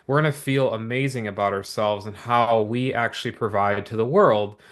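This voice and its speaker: A man presenting a business opportunity in an upbeat, motivational pitch to livestream viewers, talking with lots of repetition and quick asides. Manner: energetic, repetitive motivational patter with many asides.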